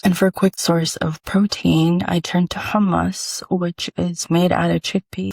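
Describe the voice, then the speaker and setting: Gravelly-voiced woman hosting a podcast. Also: fairly low pitch, a little mellow, dry recording. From Los Angeles, United States. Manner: earnest, list-reading delivery. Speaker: podcast host. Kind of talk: scripted podcast monologue.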